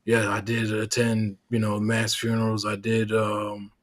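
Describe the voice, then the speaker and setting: A man who is a Marine veteran, a guest in a casual interview, speaking in a measured way with many pauses and restarts. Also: on the low side, completely flat delivery.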